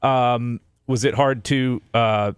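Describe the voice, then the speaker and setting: A man's voice: medium pitch, fairly even intonation, slow, clean tone, dry recording, studio quality. A man on a talk show crew, chipping in with casual, joking talk.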